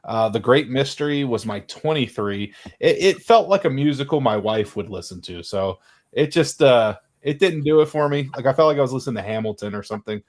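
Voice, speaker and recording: A man's voice: medium pitch, animated, a little fast. Male co-host, hesitant, lots of ums, plain voice, casual podcast. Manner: hesitant, filler-heavy.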